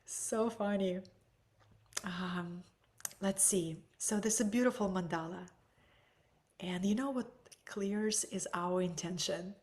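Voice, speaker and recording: A woman's voice: medium pitch, slow pace, long pauses, darkish tone. Russian-accented woman teaching a webinar. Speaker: energy healing teacher.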